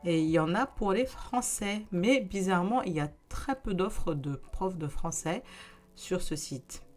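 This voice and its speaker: A young adult woman with a somewhat low voice hosts her own vlog in a relaxed, talkative way, speaking directly to her viewers as she walks them through practical advice. Soft music plays faintly underneath her voice. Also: measured pace. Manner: chatty, conversational, addresses viewers directly. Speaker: YouTuber giving practical advice. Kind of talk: casual explanatory vlog.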